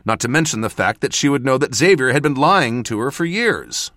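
A man's voice: mid-range voice, big pitch swings, normal speed, a bit bright, no echo, studio quality, voice pushed up. A man voicing an earnest, defensive male mage mentor in an audiobook, given to careful explanation. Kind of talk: audiobook character voice.

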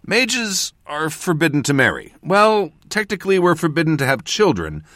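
A man's voice: a little high, sing-song, normal speed, fairly bright tone, no echo, clean studio sound, voice pushed up. A man voicing an earnest, defensive male mage mentor in an audiobook, given to careful explanation. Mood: explaining. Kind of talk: audiobook character voice.

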